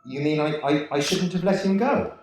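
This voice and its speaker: Gentle old male angel for a vintage radio play male actor, expressive swoops, deferential, very roomy sound. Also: on the high side, moderate pace, natural voice. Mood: apologetic. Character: gentle, bumbling elderly male guardian angel, earnest and deferential. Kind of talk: 1940s-style radio play acting. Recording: echoey room, distant microphone.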